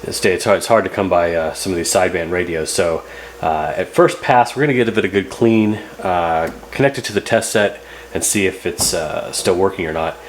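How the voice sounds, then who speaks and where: A man's voice: sits low, a little fast, a little hiss or hum. A man hosting a repair video, narrating casually and thinking aloud as he works in a loose, rambling way. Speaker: host of a radio repair channel.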